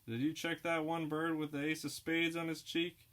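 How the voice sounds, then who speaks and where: A man's voice: a little high, level tone, moderate pace, a bit bright, pitched above the performer's own voice, close to an everyday voice. A man voicing a weary young American soldier in an audiobook reading, asking wary questions.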